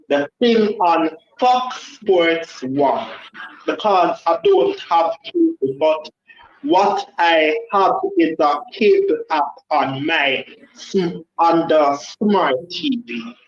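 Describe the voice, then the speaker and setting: A man calling in to a live show, chatting casually and rambling with many hesitations. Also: fairly high pitch. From Jamaica. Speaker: caller. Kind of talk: casual call-in chat. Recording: echoey muffled phone-in line.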